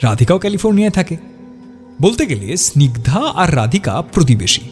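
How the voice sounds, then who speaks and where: A male storyteller reads a horror tale with a fairly high, very animated voice. His delivery is dramatic and suspenseful, set over background music. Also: a little fast. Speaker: story narrator. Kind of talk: dramatic scripted horror narration. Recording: music behind the voice.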